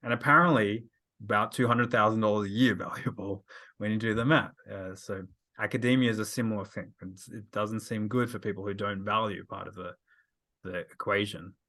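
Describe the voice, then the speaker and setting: A man's voice: fairly low pitch, steady tone, a little fast, bright, forceful tone. A man hosting a casual podcast conversation, rambling with lots of fillers and restarts. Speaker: podcast host.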